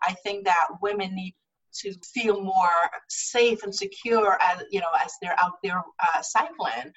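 A woman's voice: fairly low pitch, a bit bright, slightly rough, far from the mic, lo-fi sound. A woman from Guyana, a guest telling about her cycling group in an earnest, casual way that repeats words as she goes. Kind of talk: casual interview talk.

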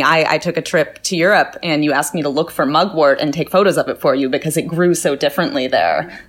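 Low-voiced woman hosting an interview. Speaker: interview host. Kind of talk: conversational interview.

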